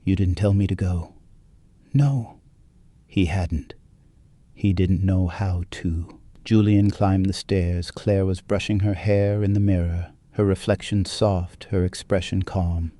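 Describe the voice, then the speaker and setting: A man narrating a scripted dramatic story in a measured, hushed, solemn manner. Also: low voice, steady tone, dry recording.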